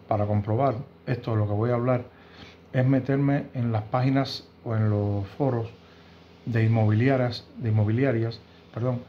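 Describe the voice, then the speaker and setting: A man's voice: medium pitch, highs cut off. Man in his fifties, Cuban Spanish accent, earnest hesitant commentary, home room, faint background hiss. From Cuba. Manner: earnest and indignant, with frequent hesitations and restarts. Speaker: host of a news commentary channel. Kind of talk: opinion commentary to camera. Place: home room with bookshelves, indoors.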